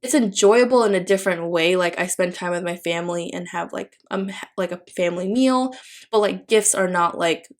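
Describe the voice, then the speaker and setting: A woman's voice: on the low side, a little fast. A young woman from the Philippines whose first language is Tagalog, chatting casually in a voice-over while decorating a planner, rambling with many fillers and restarts. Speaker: planner vlogger.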